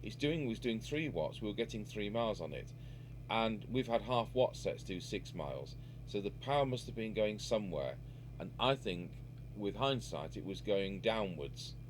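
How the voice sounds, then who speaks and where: A man with a fairly low voice talks through a hands-on repair job. There is some background noise and hum behind him. He speaks off the cuff, thinking aloud as he works. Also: expressive, moderate pace. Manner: unscripted, musing aloud while working. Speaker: radio repair hobbyist and video presenter. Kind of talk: casual hands-on repair demonstration.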